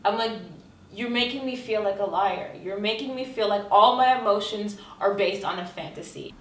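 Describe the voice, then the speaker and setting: A woman's voice: mid-range voice, normal speed. Woman coach talking earnest and reflective in an interview, repeats stuff for emphasis, kinda echoey room. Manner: earnest and reflective, builds emphasis through repetition. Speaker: women's empowerment coach. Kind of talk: documentary interview.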